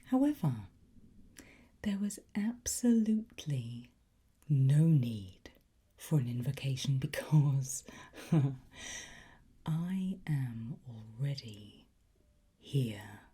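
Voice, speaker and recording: A woman performs an ASMR roleplay in a very breathy, mostly whispered voice. She speaks extremely slowly and low, pausing like a patient teacher. Her coaxing delivery carries the soft menace of a sly demon. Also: big pitch swings. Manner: slow, coaxing, teacherly with pauses.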